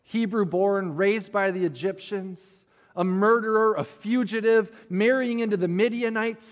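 A man preaching a sermon, telling a Bible story conversationally with dramatic pauses and rhetorical questions. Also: high-pitched. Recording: muffled audio with long hall reverb.

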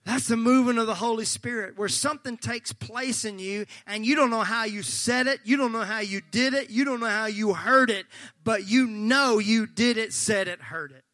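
A male pastor preaching a sermon, fervent and folksy, joking with the congregation and breaking into shouts. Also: sits high, moderate pace, no room sound, clean studio sound. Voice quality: slightly gravelly.

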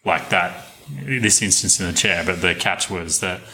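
Deep-voiced man, casual chat. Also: a little slow, vocal fry, slight background noise, rough recording. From Australia. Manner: relaxed, hesitant with fillers, laughs often. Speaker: podcast co-host.

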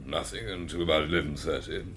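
Deep-voiced, curt English gentleman. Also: fast talker, slight background noise. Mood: impatient, curt. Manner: curt, literal. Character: terse, stiff, literal-minded gentleman witness. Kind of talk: British radio drama acting.

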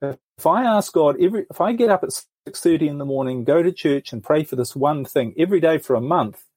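Husky new guy, middle-aged, thinking out loud telling his story, dry close mic. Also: on the high side, lively intonation, fairly quick, no room sound. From New Zealand. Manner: earnest, thoughtful storytelling with frequent restarts. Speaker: podcast guest. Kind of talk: reflective life story in an interview.

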